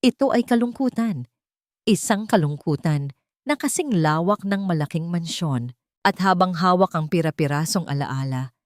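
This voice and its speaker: Low-pitched woman storyteller, dry sound. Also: darkish tone, no echo. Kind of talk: dramatic scripted narration.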